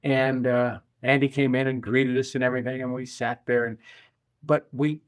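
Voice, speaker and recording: A man's voice: mid-range voice, level tone, normal speed. An older American man telling an anecdote casually in an interview. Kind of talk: casual storytelling.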